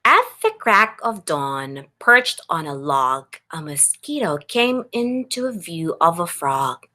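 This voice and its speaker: A woman pre-K teacher doing an expressive read-aloud for young children, instructive and addressing them with questions. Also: on the low side, takes it slowly, slight background noise.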